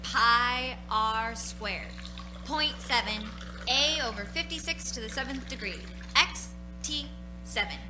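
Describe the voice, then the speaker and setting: High-voiced eager little girl, radio play. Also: takes it slowly, over music, poor audio. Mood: distracted, casual. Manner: slangy, childlike, dismissive of doubters. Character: eager, evasive young daughter playing invasion.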